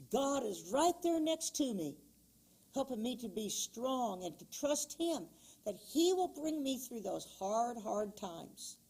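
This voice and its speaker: A middle-aged woman reading a folk tale aloud to children in an expressive storybook way, then talking about it. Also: fairly high pitch, slightly rough.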